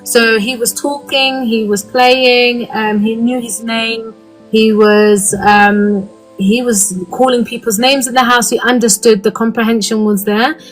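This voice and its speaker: A woman's voice: fairly high pitch, fairly even intonation, measured pace, low-quality recording. Woman from the uk, thirties, earnest, lots of ums, live online session, faint music behind. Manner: earnest, frequent "um" fillers, candid. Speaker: guest speaker.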